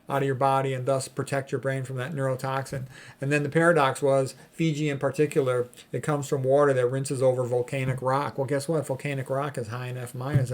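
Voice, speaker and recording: A man giving an informal explanatory health talk as an educator, speaking in a blunt, opinionated and colloquial way. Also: mid-range voice. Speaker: health educator, medical doctor.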